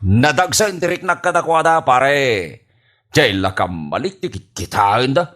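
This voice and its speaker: A man's voice: mid-range voice, animated, a little slow, fairly bright tone. A man with an Ilocano accent playing a boastful, childish, stubborn husband in a radio comedy drama, with cocky, exaggerated delivery. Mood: resentful, mocking. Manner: cocky, exaggerated, swooping delivery with mocking laughs.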